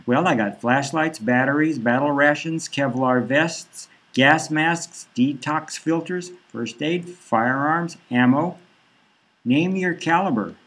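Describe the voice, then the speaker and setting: A boastful male arms dealer hard-selling his gear, put on by a man reading his own novel. The voice sits higher than the reader's own, with a husky edge. He takes his time over each item he pitches. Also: slow, a bit bright, poor audio. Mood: pushy. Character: pushy middle-aged male arms dealer, salesy and boastful.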